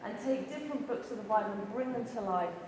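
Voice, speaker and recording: A woman's voice: on the high side, expressive, quick pace. Woman addressing a very echoey church hall. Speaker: church service host. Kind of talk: thanks and announcements to a congregation. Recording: very echoey hall, clear background noise.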